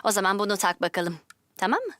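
A woman's voice: medium pitch, expressive, quick pace, fairly bright tone, no echo, lightly acted. A woman playing a patient, reassuring optometrist in a Turkish children's dub, guiding a child encouragingly step by step.

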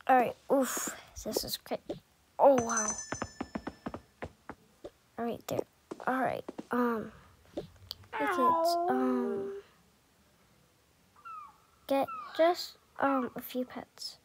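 Over clear background noise, a woman plays a busy mother in a pretend-play game story. Her voice is high and her pace is unhurried. She murmurs hesitantly, as if thinking aloud while organising the family. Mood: coaxing.

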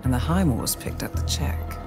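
An actress playing a composed, businesslike intelligence handler, giving formal, measured, articulate mission briefings in a video game. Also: female voice, rumbling low, lively intonation, gravelly, music in the background, heavily acted. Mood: grave, guarded.

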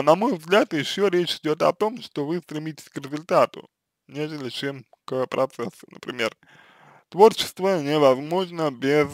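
A man giving informal counseling advice, halting, often repeating and restarting his phrases. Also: a little high, no room sound. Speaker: counselor answering written questions. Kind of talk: reading a letter aloud, then informal advice.

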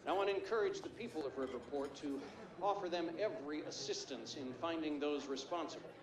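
A man's voice: fairly high pitch, brisk, lightly acted. An actor playing a formal male city mayor reading an official statement at a press conference. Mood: official, firm. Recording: echoey, distant mic, loud crowd.

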